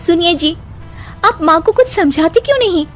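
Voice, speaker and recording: A woman voicing a feisty young daughter-in-law in an animated story, pointed and assertive. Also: very high voice, normal speed, faint music behind, pitched above the performer's own voice. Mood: complaining. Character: feisty young daughter-in-law who answers back in verse. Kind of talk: animated story character acting.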